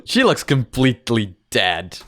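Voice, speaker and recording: A man's voice: medium pitch, very animated, unhurried, fairly bright tone, no echo, clean studio sound. A man hosting a reaction video, giving casual, conversational commentary.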